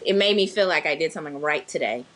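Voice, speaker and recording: A woman's voice: sits low, animated, fast, fairly bright tone, a little hiss or hum. A woman co-hosting a podcast in playful casual chat, fond of breaking into song and silly voices. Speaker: podcast co-host.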